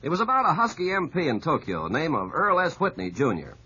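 A man's voice: on the high side, wildly swooping pitch, brisk, bright, forceful tone. A man announcing on 1950s radio, telling scripted items with polished, folksy delivery. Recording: muffled, husky-sounding old radio recording.

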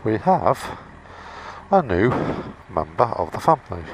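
Deep-voiced English biker vlogging. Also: male voice, very animated, unhurried, very noisy. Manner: chatty, explains as he goes. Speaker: motorcycle vlogger reviewing a camera. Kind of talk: casual product review vlog. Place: home and garden, then outdoors by his motorbike. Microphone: helmet microphone of action camera.

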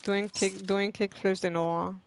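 A woman's voice: mid-range voice, level tone, a little slow, background noise. A woman from Puerto Rico with a New York accent, a streamer chatting casually with friends while gaming, brash, swearing and teasing.

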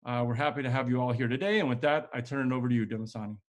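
A man's voice: mid-range voice, racing. A man in his thirties hosting a webinar on a video call, reading out guest introductions in a scripted, upbeat way. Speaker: host introducing panel. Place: home room with plants.

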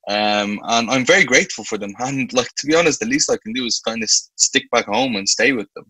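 A young man in his twenties with a Dublin Irish accent, speaking earnestly and reflectively over a video call. His voice is bright and forward, with a dry, close sound and no room echo. He often restarts his sentences as he works through his thoughts. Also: medium pitch, fairly quick, husky. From Ireland. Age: 20s. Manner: earnest, with frequent restarts and "you know". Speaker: guest. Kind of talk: reflective conversational interview. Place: indoor room with light walls. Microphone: video call webcam.

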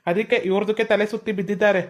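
A man's voice: sits high, little pitch movement, rapid-fire, close to an everyday voice. A man playing a cheeky young man who speaks broken Kannada with odd phrasing, in a comedy skit. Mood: arguing.

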